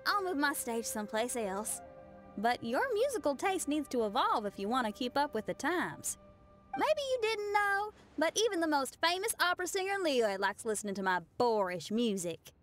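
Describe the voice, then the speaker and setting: A woman's voice: sits high, big pitch swings, normal speed, fairly bright tone. A woman playing an upbeat, cheeky young female musician in a video game. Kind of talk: game voice acting. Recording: music behind the voice.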